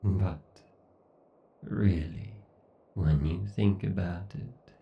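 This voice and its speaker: A man voicing an arrogant, obsessed, sadistic AI god in a horror roleplay, pompous and menacing, with theatrical pauses. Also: low voice, steady tone, roomy sound, recorded from a distance, lo-fi sound, full character voice. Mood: arrogant, contemptuous. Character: obsessed, sadistic, arrogant godlike male AI villain. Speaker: voice actor. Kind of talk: horror ASMR roleplay monologue.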